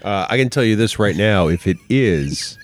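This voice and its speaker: Dry-humored man, low voice, teasing host. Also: animated, normal speed. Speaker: podcast host. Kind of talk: casual chat. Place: hotel room at a convention.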